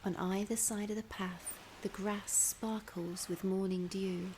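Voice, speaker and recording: A woman narrating a scripted guided imagery meditation in a soft, soothing, breathy voice, gently instructing with long pauses. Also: medium pitch, steady tone. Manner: unhurried, with long pauses between phrases. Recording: clear background ambience noise.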